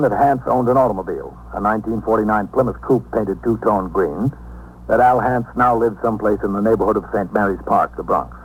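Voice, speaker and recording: An actor playing a dry, tough police captain, matter-of-fact and clipped in the style of a 1950s radio drama. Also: male voice, lowish voice, lightly acted. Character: dry, tough New York police captain narrating cases. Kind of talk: factual scripted narration, 1950s radio acting. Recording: noisy, humming 1950s radio recording.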